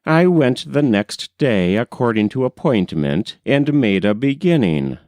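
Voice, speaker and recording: A man reading a literary novel aloud as a scripted audiobook, in a measured, deliberate and expressive manner. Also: mid-range voice, no room sound, clean studio sound. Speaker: audiobook reader.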